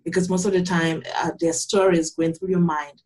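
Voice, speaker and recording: A young adult woman from Cameroon, a yoga teacher calmly and earnestly explaining her practice in an interview. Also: on the low side, a little fast. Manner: calm, earnest, explanatory with frequent restarts. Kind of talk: interview answers, conversational.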